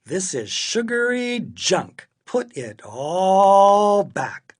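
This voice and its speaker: A man playing an exasperated, excitable father in a children's story. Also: sits high, extremely slow, pitched above the performer's own voice. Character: exasperated, excitable father scolding his daughter.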